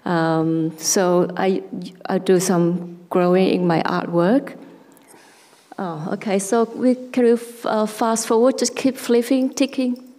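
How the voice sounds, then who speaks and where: A woman from Vietnam with a Vietnamese accent, an artist speaking slowly and haltingly about her work at a public forum. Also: mid-range voice, fairly even intonation. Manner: halting, searching for words, soft and reflective. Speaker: artist panelist. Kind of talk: talk with slides at a public forum. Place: arts centre hall. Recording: long hall reverb.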